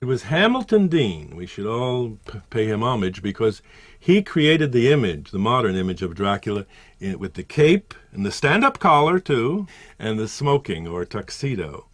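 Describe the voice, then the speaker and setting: Animated male commentator with lively pitch. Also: moderate pace, no room sound. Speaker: interviewed commentator. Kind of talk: casual anecdotal commentary.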